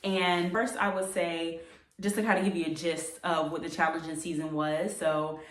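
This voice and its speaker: A woman's voice: low voice, little pitch movement, moderate pace. A woman in her late twenties with an American accent, telling a personal story casually and reflectively, as a vlogger does, with emphatic repeated phrases. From United States. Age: late 20s. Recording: echoey room, distant microphone.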